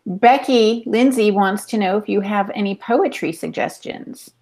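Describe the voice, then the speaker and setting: A woman's voice: medium pitch, measured pace, darkish tone. A woman casually relaying audience comments and questions as a podcast's chat moderator.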